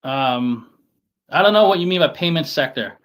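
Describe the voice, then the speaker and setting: A man from New York City with a softened New York accent, talking casually as an interview guest, halting and often repeating words as he restarts. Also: a little high, expressive. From Staten Island, New York City. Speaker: guest investor.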